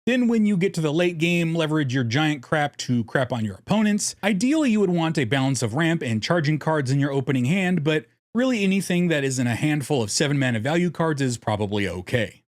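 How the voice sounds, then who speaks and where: A man's voice: fairly high pitch, lively intonation, a little fast, hardly any pauses, no room sound, studio quality. A man narrating a scripted strategy guide in a jokey, irreverent way, casually swearing as host. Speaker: host of a card game deck guide.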